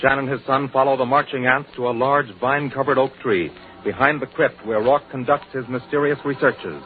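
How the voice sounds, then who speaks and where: A man's voice: mid-range voice, a little fast, faint music behind. An American man announcing and narrating a scripted 1940s radio show in a formal, emphatic, declamatory way. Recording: scratchy, tinny 1940s radio broadcast.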